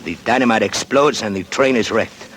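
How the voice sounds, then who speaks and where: A man's voice: fairly low pitch, normal speed, a bit bright, slight background noise, lightly acted. An actor playing a secretive Mexican man who guards a buried gold secret, speaking broken English with a Mexican Spanish accent in a 1940s radio drama. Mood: earnest. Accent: Mexican Spanish (acted). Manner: broken English with Spanish words, wary and conspiratorial.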